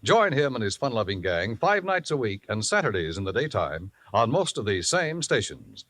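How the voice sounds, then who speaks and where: A man's voice: fairly low pitch, sing-song, moderate pace, a bit bright. A man reading scripted 1950s radio announcements on a vintage broadcast.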